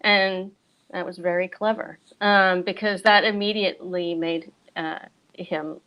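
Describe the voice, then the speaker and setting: A woman's voice: lowish voice, steady tone, a little slow, dull audio. A middle-aged American woman chatting casually as a guest bookseller and author, telling things in a chatty way with casual asides. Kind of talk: casual chat on a virtual event.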